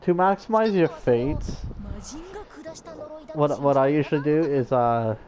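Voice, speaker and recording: A woman's voice: low voice, lively intonation, a little slow, a little mellow. A woman gaming streamer giving casual, irreverent commentary in a giggly, joking manner.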